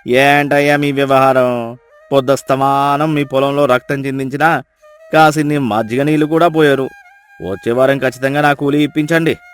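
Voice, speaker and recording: A man voicing a weary, aggrieved farm labourer in a moral story, pleading in a loud, strained way. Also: medium pitch, steady tone, normal speed, clean tone, faint music behind, lightly acted.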